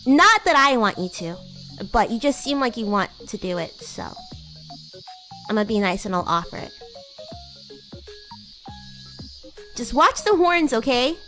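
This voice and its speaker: A woman voicing a bratty, flustered demon schoolgirl who is secretly fond, teasing and halting, in an anime-like livestream roleplay. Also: on the high side, sing-song, a bit bright, faint music behind. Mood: flustered, haughty. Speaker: VTuber streamer.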